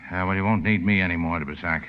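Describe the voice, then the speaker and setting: An actor playing a tough, cynical boat skipper with dry, laconic sarcasm in a 1940s radio drama. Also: male voice, deep voice, fairly even intonation, quick pace, slight background noise, natural voice. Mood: tough, businesslike. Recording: dull old radio recording.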